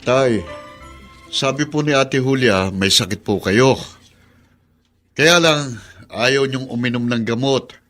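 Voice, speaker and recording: Exasperated, sharp-voiced grown son. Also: male voice, medium pitch, slow, pauses often, soft background music. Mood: insistent. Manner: insistent, complaining. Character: exasperated, nagging grown son of an old man. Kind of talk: Filipino radio drama acting.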